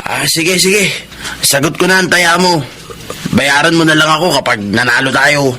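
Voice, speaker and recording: High-voiced easygoing Filipino drinking buddy. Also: male voice, normal speed, bright, forceful tone. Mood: coaxing. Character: easygoing, carefree male drinking buddy who loves cockfighting. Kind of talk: Filipino radio drama acting. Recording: noisy radio drama track.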